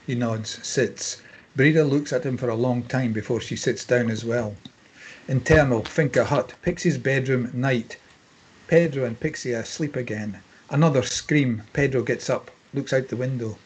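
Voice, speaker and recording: A man's voice: medium pitch, moderate pace, slightly rough. A man reading screenplay stage directions as scripted narration, in clipped phrases.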